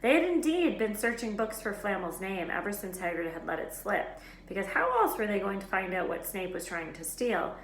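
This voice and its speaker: A woman reading a children's novel aloud as an expressive storyteller. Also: medium pitch, moderate pace. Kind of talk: expressive scripted children's book narration. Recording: echoey room with faint noise.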